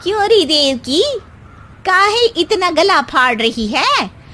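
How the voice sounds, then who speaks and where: A woman's voice: very high voice, sing-song, slow pace, bright, forceful tone, voice pushed up, full character voice. A woman voicing a blunt, teasing neighbourhood friend who mocks and scolds in a comic family story. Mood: mocking. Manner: mocking, scolding.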